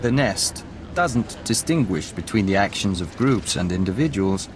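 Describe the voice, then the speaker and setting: An actor playing a calm emissary of an insect hive in a video game, speaking formally and with detachment, as a collective "we". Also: male voice, fairly low pitch, moderate pace, background noise, natural voice. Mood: insistent.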